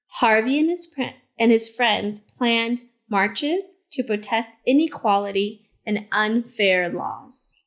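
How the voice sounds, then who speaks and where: A woman reading a picture book aloud to children as a teacher librarian, in simple, deliberate phrases with short asides. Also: on the high side. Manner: simple, deliberate phrasing addressed to young listeners.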